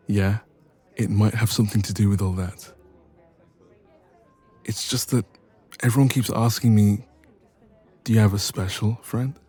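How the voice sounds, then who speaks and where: A man's voice: lowish voice, darkish tone, breathy edge. A man playing a bashful, evasive best friend with a secret crush in an intimate romance audio roleplay. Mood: amused, sheepish. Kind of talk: romance ASMR roleplay, intimate one-sided conversation.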